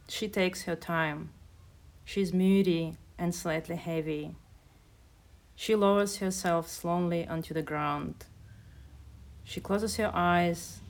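Woman, poet from Kazakhstan, very slow pace, creaky voice, measured, hesitant. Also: lowish voice, darkish tone. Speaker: poet and translator. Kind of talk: casual conversation and poetry reading.

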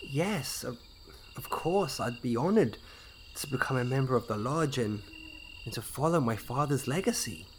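With crickets and night noise around him, a bewildered everyman acted by a man stammers through a comic horror audio play. His voice is nervous and hesitant, jumping about in pitch. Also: animated, moderate pace, close to an everyday voice. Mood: eager, unsure. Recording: night insect ambience, clear noise.

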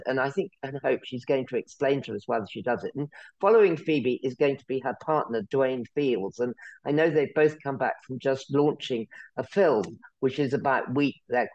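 A man's voice: medium pitch, darkish tone, dry recording, highs cut off. A man hosting a talk event, thanking a speaker and introducing the next one. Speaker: event host.

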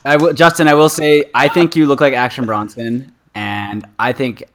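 A man's voice: medium pitch, steady tone, normal speed, fairly bright tone. A man co-hosting a podcast, speaking in a casual, chatty style. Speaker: podcast co-host.

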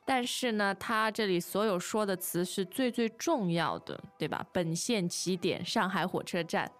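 A woman's voice: mid-range voice, lively intonation, normal speed, soft background music. A woman co-hosting a language lesson in a conversational teaching style, often agreeing and repeating key phrases.

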